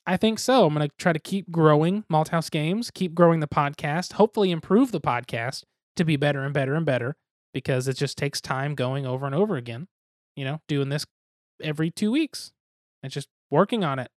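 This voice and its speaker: A man's voice: fairly high pitch, animated, fairly quick, no echo, clean studio sound. A young man co-hosting a board game podcast, talking casually in a rambling, self-deprecating, jokey way. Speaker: podcast co-host.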